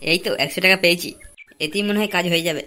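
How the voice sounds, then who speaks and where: A woman voicing a lecherous, sneaky, boastful young cartoon man with a West Bengal Bengali accent, slangy and excitable. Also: on the low side, very fast, background noise, natural voice. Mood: leering, scheming. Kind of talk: comic cartoon dialogue.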